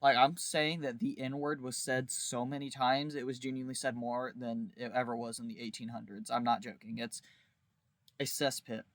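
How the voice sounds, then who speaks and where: A man's voice: medium pitch, little pitch movement, moderate pace, a bit bright, no echo. A man giving casual video commentary in a wry, informal way, leaning on emphatic exaggeration. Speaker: video creator reviewing a visual novel.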